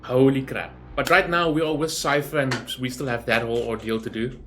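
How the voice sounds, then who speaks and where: A man's voice: medium pitch, steady tone, brisk, fairly bright tone, soft background music. A man giving casual, rambling game reaction commentary in an informal way, as a gaming YouTuber.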